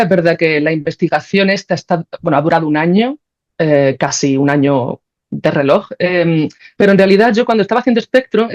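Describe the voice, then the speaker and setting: A woman with an accent from Spain speaks as an interview guest in a slightly muffled recording. Her voice is on the low side for conversation's reflective, informal flow, as she thinks aloud and often seeks agreement. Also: a little mellow. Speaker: podcast guest, composer and philosopher.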